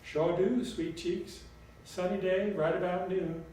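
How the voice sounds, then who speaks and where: Man voicing a sassy old Southern grandma, high-pitched. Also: steady tone, takes it slowly, warm tone. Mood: folksy, sassy. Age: older. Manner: folksy drawl full of endearments. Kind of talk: audiobook storytelling character voice. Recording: very echoey room, distant mic, faint noise.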